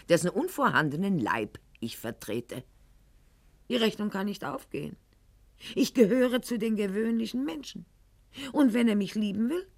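Proud, theatrical court lady, swooping intonation. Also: lowish voice. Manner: eloquent, mannered, formal diction with dramatic pauses and sudden outbursts. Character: proud, articulate 18th-century Weimar court lady, indignant and self-justifying. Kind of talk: theatrical radio play monologue.

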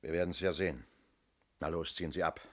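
An actor playing a senior police detective in a 1970s German radio play, curt, sardonic and commanding. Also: male voice, sits low, steady tone, fairly quick, very noisy, lightly acted. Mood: brusque, dry. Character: brusque, dry senior police detective, impatient boss.

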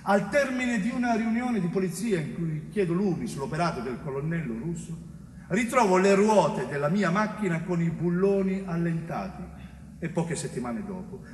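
A distant, reverberant stage recording carries an actor as a bitter police chief speaking from death. The man delivers a lucid monologue with wide swings of pitch and deliberate pauses, phrases often sinking at the end. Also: close to an everyday voice. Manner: deliberate, dramatic pauses, phrase endings dropping low. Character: dead police chief, bitter, lucid, recounting his thwarted investigation. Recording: roomy stage recording, distant mic, faint noise.

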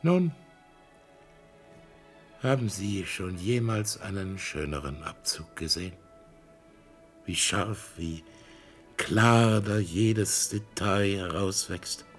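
An old collector, proud, fervent, stubborn, slow-spoken, faint music underneath. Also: male voice, sits low, expressive, fairly bright tone, heavily acted. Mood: enthusiastic. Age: older. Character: proud old man, a blind art collector, childlike.

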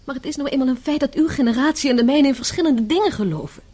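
An actress in a radio play, playing a composed, pragmatic grown daughter who speaks firmly and earnestly. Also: female voice, high voice, fast talker, mellow, lightly acted. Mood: pleading, insistent. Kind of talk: radio drama acting.

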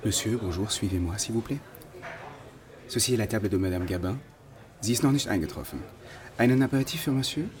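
A courteous, formal French waiter, played by a male actor, in a radio drama. He rattles along quickly in a fairly low voice. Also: expressive, a little mellow, natural voice. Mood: courteous, brisk. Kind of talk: German radio drama dialogue with French phrases.

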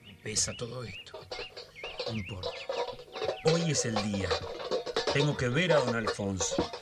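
A man's voice: mid-range voice, expressive, measured pace, close to an everyday voice. Guy playing a jittery young buenos aires dude in a radio play, mutters and repeats himself, music blasting behind him. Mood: nervous, determined. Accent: Argentine, Buenos Aires. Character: nervous young neighborhood man, obsessed with uncovering a plot. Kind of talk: radio drama acting.

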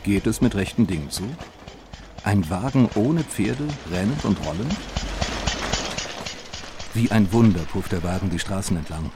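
Male reciter, very low register, dramatic and rhythmic literary delivery. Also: lively intonation, a little slow, pauses often, noisy background.

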